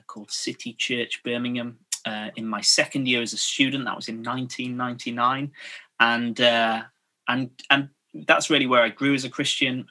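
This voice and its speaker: A man's voice: mid-range voice, bright, forceful tone. A man, a pastor answering interview questions in a modest, self-deprecating way with many fillers. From North Yorkshire, England. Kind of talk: casual interview chat.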